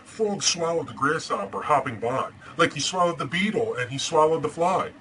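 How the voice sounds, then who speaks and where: Superhero storyteller, played by a man: earnest and deadpan, bright voice, casual hesitant delivery, faintly noisy homemade recording. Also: fairly high pitch, moderate pace. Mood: storytelling. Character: Batman, earnest masked superhero reading storybooks aloud. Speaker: storyteller and host. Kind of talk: casual chat and storybook read-aloud.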